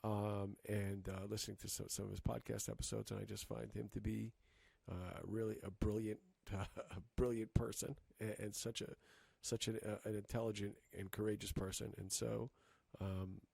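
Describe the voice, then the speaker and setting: A man co-hosting a casual podcast chat, talking in halting bursts with many restarts and fillers. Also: fairly low pitch, little pitch movement, creaky, a little mellow, breathy edge, no room sound. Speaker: podcast co-host.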